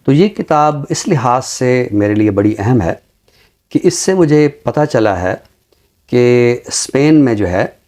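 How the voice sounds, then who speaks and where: A middle-aged man with a Pakistani accent speaks formally and deliberately about literature, repeating phrases for emphasis. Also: medium pitch, expressive, a little mellow. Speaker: literary show presenter and book reviewer. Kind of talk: measured literary talk with poetry recitation.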